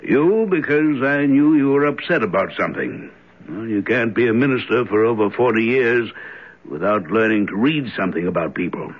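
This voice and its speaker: An actor playing an earnest, worried elderly minister and stern father in an old-time radio drama, speaking gravely. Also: lowish voice, expressive, moderate pace. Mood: concerned, confiding. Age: 60s. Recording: dull, band-limited old radio recording.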